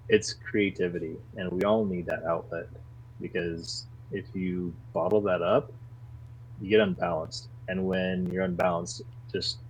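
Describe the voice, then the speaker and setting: A man with a very low voice chats casually on a podcast. He speaks earnestly and grows animated when he makes a case. A faint hiss sits under the recording. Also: poor audio. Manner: earnest, animated when defending his views. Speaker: podcast guest. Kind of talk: casual conversation.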